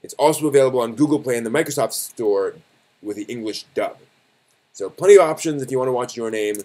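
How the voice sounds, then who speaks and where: Man, medium pitch, live stream host, casual news commentary, conversational, halting, self-correcting. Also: a little mellow.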